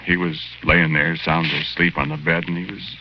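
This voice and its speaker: A man's voice: very deep voice, little pitch movement, normal speed, slight background noise. An actor playing the naive, friendly ghost of a murdered small-time guy, telling his story in folksy, ungrammatical 1940s radio drama style. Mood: chatty, sheepish. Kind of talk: 1940s radio drama acting, first-person narration. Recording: dull old 1940s radio recording with music.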